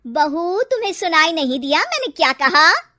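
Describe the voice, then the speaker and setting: A woman voicing a sharp-tongued middle-aged mother-in-law who scolds loudly and bossily. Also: fairly even intonation, fast, lightly acted. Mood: bossy. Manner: shrill, loud and bossy.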